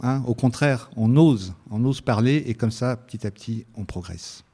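Mellow-voiced man, hesitant and thoughtful. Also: mid-range voice, normal speed. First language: Italian. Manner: thoughtful, hesitant, many restarts. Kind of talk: radio interview answer.

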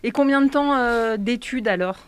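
A woman with a fairly high voice presents local radio news and interviews guests. She reads the items in a clear, steady way, then asks questions with plenty of pauses and fillers. The sound is dry and close, with no room around it. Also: no echo. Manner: hesitant with many fillers when asking questions. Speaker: radio host.

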